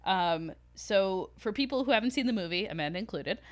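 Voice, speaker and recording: A woman's voice: mid-range voice, expressive, brisk. She is a woman hosting a conversational podcast, with a mid-range voice. She tells stories informally, as if to friends, and drops in swearing without ceremony. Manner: informal, giggly, swears freely. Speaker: podcast co-host. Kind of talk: casual conversational storytelling.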